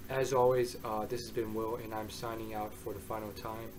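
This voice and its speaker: A man giving a casual, rambling review monologue, hoarse and excitable, often restarting his sentences. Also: fairly low pitch, normal speed, noisy background. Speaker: manga reviewer.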